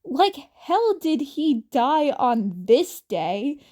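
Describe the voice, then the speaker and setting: A woman reading fan fiction aloud as an amateur narrator, in an informal, slightly halting way. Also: high voice, sing-song, dark tone. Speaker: amateur podfic reader.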